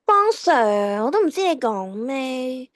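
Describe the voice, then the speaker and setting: A woman's voice: high voice, big pitch swings, takes it slowly, a bit bright, exaggerated voice. A woman playing a cheeky, defiant teenage schoolgirl in an audio drama, with a whiny, dramatic delivery. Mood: evasive, whiny. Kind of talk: Cantonese radio drama acting.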